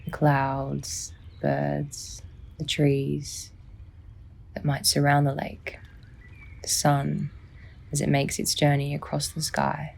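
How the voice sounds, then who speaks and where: A woman softly and soothingly narrating a guided sleep meditation, unhurried, with long pauses and a breathy, near-whispering delivery. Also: deep voice, completely flat delivery. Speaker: psychologist guiding a sleep meditation.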